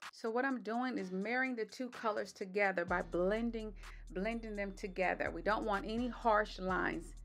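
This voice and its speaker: A woman with a slightly raspy voice doing a relaxed step-by-step voice-over for her makeup tutorial. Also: medium pitch, moderate pace, faint music behind. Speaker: beauty vlogger. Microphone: voice-over mic.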